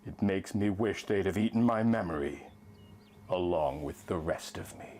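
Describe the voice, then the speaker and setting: A man's voice: low-pitched, normal speed, darkish tone, a little hiss or hum, pitched below the performer's own voice, natural voice. A man playing a traumatized, haunted survivor of a savage attack, telling his tale in an intense, theatrical pulp horror style. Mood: haunted, subdued. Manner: intense, theatrical, lurid storytelling. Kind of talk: dramatic first-person pulp horror story reading.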